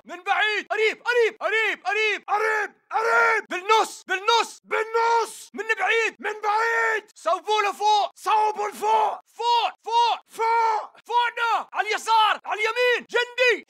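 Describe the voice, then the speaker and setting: Aggressive Levantine militant for a shooter game: urgent shouted callouts, very high and piercing. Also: male voice. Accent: Levantine Arabic. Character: aggressive male militant fighter in combat. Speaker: enemy soldier voice. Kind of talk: video game battle chatter, shouted.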